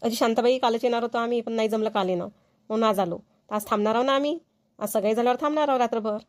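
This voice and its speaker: A woman acting as a polite, accommodating village sister-in-law in a cartoon. She has a slightly high voice and a Vidarbha Marathi accent, and she speaks briskly and colloquially. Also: close to an everyday voice. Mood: explaining. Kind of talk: amateur cartoon dialogue acting.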